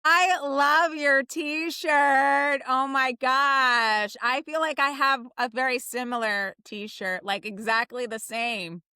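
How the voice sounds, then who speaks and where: A woman's voice: high voice, steady tone, slow pace, few pauses, sharp tone, clean tone. An American woman from New Jersey chatting casually as a podcast guest, effusive and rambling, sprinkling in "like". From New Jersey, United States. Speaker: podcast guest, theater writer and director.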